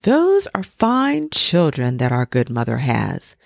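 A woman's voice: extremely low pitch, huge pitch swings, slow, vocal fry, no room sound, studio quality, full character voice. A woman doing a haughty grand old duck. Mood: condescending. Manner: haughty, condescending.

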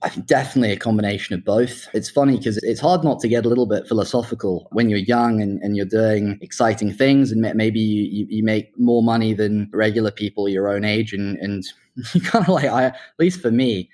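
A man's voice: fairly low pitch, brisk, a little mellow. A man in his twenties giving conversational interview answers, talking earnestly and enthusiastically about his own story. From Hong Kong and UK. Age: 20s. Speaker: startup founder being interviewed.

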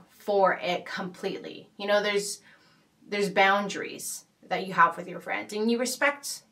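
A woman hosting a podcast, speaking in a thoughtful, casual way with frequent pauses, fillers and restarts. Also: medium pitch, recorded from a distance. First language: not Arabic. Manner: thoughtful, many fillers and restarts, gentle laughs. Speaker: podcast host. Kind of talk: casual reflective talk.

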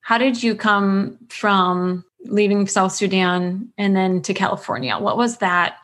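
A woman conducting an interview, asking her guest calm questions. Her intonation stays flat and even. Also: mid-range voice, unhurried.

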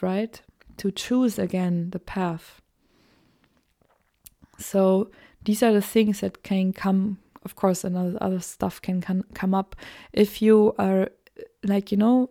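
A woman with a German accent, a native German speaker, talking gently in a solo spiritual podcast and dropping into soft whispered asides. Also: mid-range voice. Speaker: podcast host.

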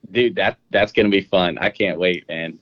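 Deep-voiced man from Texas. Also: normal speed, no echo, dull audio. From Dallas, Texas. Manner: friendly, laughs easily, "dude" and "uh" fillers. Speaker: guest, app co-owner. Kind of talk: casual podcast chat.

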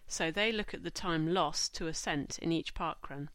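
A woman hosting a podcast, stuffy from a cold, speaking in a measured, informative way. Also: low voice, a bit bright, no echo. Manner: measured, informative, reads lists slowly. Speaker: podcast host. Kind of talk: scripted podcast monologue.

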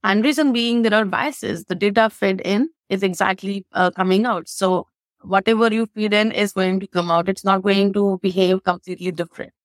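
A woman's voice: mid-range voice, steady tone, moderate pace, no echo. Woman from India explaining her work. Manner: explains with examples, uses "like" and "right". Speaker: interview guest, AI expert. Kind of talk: casual interview chat.

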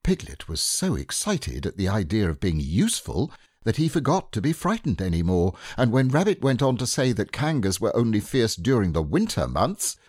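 A man narrating a children's book aloud in a gentle, expressive way. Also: lowish voice, slightly rough, no echo, studio quality. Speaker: audiobook narrator. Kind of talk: storybook narration.

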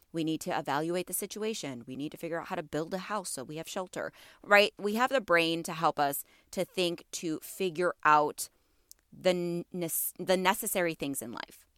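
A woman's voice: lowish voice, clear, resonant tone, dry recording, clean studio sound. A woman in her thirties hosting a wellness podcast, talking casually and encouragingly to listeners, her voice a bit stuffy from allergies. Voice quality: slightly congested. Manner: warm encouraging, asks listeners questions. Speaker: podcast host.

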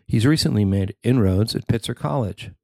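A man narrating a newspaper feature he wrote, in a measured, even reading. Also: lowish voice, gravelly, dry recording, studio quality. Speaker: newspaper columnist reading his story. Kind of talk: scripted narration.

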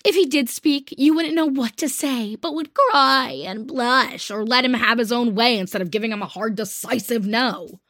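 A woman's voice: fairly high pitch, moderate pace, few pauses, a bit bright, no echo, clean studio sound, voice pushed up. A woman voicing a blunt, impatient, tomboyish teenage girl who speaks scornfully.

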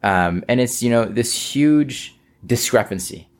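A man's voice: mid-range voice, lively intonation, unhurried. A young man from Israel, a native Hebrew speaker, telling his personal story earnestly as an interview guest, with many fillers. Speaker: guest, company founder. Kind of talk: casual interview.

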